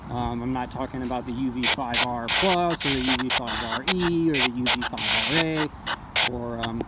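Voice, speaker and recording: A man giving a casual, off-the-cuff product demonstration, speaking haltingly and thinking aloud as he goes. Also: mid-range voice. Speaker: amateur radio hobbyist reviewing handheld radios. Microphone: handheld phone camera. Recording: noisy phone video with clicks.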